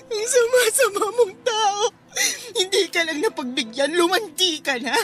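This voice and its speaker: A woman's voice: extremely high pitch, measured pace, a bit bright. A woman playing a betrayed, fierce live-in girlfriend in a Filipino radio drama, scolding and accusing with raw emotion. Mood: bitter, enraged. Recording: music bed behind the voice.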